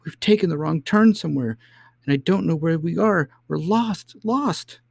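A man's voice: mid-range voice, lively intonation, a little fast, a little mellow, no echo, clean studio sound, voice pushed up, natural voice. A man voicing a frightened, flustered, snappish nursemaid in a storybook. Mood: terrified. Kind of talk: storybook character voice.

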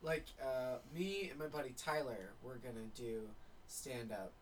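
A man, a stand-up comedian, tells stories in a relaxed way during a casual chat, swearing offhandedly. His voice sits in a middle pitch, and clear background noise runs under the recording. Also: measured pace. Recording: noisy background.

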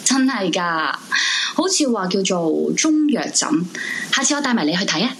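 A woman's voice: medium pitch, very animated, normal speed, a bit bright, low-quality recording. A woman playing a troubled, sleepless young woman in a supernatural radio drama, narrating and speaking over music. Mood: chatty. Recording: music behind the voice.

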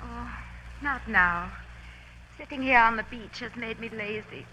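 A woman's voice: on the high side, a little slow, bright, forceful tone. An actress playing a languid, teasing, worldly woman with a bored, flirtatious manner in 1940s radio drama. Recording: faintly noisy old radio recording.

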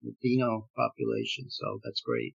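A man's voice: medium pitch, level tone, moderate pace, vocal fry, husky. A man in his sixties from Gibraltar whose first language is Spanish, a playwright talking in a casual interview, rambling with many fillers and restarts. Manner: rambling, many fillers and restarts, laughs while telling stories. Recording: dull lo-fi sound.

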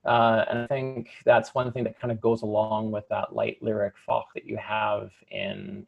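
Gravelly, husky-voiced young male tenor. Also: lowish voice, a little mellow, highs cut off. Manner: thoughtful, halting with restarts and fillers. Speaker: opera singer, light lyric tenor. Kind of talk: interview conversation.

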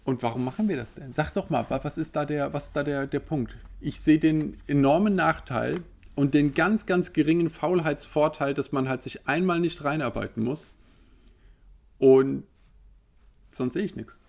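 A man in his thirties ranting in a casual, animated way, firing off rhetorical questions. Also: on the high side, moderate pace, creaky. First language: German. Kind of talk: casual opinion monologue.

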